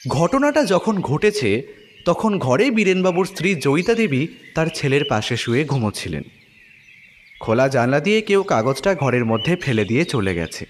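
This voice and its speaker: A man's voice: on the high side, sing-song, moderate pace, long reverb tail, slight background noise. A man narrating a detective story in the first person, as scripted audio drama narration.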